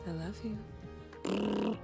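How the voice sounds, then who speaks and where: A woman playing a blunt, deadpan, scholarly skull collector in a comedic audio drama. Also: medium pitch, extremely slow, vocal fry, soft background music. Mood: fond, plain. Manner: deadpan, terse, matter-of-fact.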